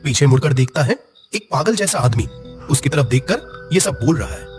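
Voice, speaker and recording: A man's voice: a little high, animated, moderate pace, soft background music. A man narrating a scripted horror story dramatically.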